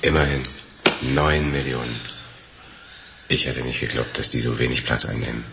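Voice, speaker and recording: An actor playing a quietly calculating accomplice thief in a crime radio play, with a casual, musing delivery. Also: male voice, very deep voice, steady tone, normal speed, gravelly. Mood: evasive. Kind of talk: German crime radio drama acting. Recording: echoey room sound, noisy background.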